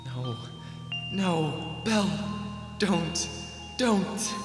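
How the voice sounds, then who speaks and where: A man's voice: high voice, lively intonation, drawn-out words, exaggerated voice. A man acting in a radio drama as Scrooge, a miser tormented by his past, with anguished, pleading delivery. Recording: echoey hall reverb, music behind.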